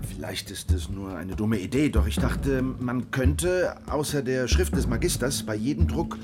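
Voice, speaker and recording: An actor playing a young revolutionary who looks back on his past in an audio drama, in a measured, reflective way. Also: mid-range voice, music in the background, natural voice. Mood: questioning, earnest. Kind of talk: audio drama narration and dialogue.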